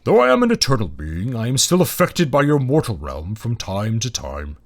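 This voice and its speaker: A man voicing a huge old male dragon, cranky and wry, with a deep, booming, slow delivery for a fantasy story. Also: wildly swooping pitch. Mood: weary, cranky.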